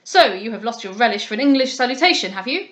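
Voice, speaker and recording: Lady doing a loud bossy sea captain, gruff and rough, blustering. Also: a little high, expressive, quick pace. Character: blustering rough English sea captain, man, middle-aged.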